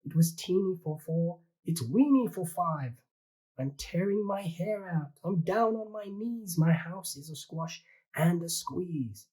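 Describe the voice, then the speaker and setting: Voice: medium pitch, takes it slowly, dark tone, husky, voice pushed up, rough recording. A reader voicing a fretful little old woman who keeps begging for help with her crowded house, in a pleading, sing-song storybook manner.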